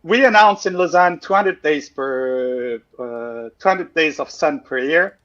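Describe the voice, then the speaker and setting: A man's voice: on the high side, lively intonation, unhurried, a bit bright. A man from Switzerland presenting casually on a livestream, full of fillers and self-deprecating asides. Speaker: guest presenter. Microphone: video call. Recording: dull lo-fi call audio.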